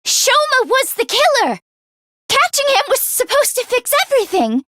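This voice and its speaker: A woman voice acting an earnest, anxious teenage schoolgirl in an English video game, with expressive, emotionally heightened delivery. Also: very high voice, moderate pace, dry recording, exaggerated voice. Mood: alarmed.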